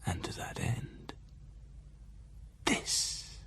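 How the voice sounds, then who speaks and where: A man voicing an ancient vampire count, mocking and predatory, in a slow, sneering whisper for a gothic audio drama. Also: sharp tone. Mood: mocking, triumphant.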